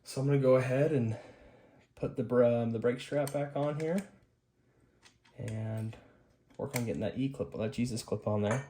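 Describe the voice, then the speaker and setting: A man's voice: mid-range voice, moderate pace, long pauses, mellow, a little hiss or hum. A man giving a casual hands-on repair demonstration, informal and upbeat, talking half to himself as he works. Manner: informal, muttering to himself while working. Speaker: host of a hi-fi repair channel.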